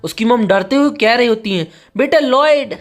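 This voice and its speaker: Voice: mid-range voice, fast talker. A narrator telling a scripted story in a dramatic, present-tense recap style.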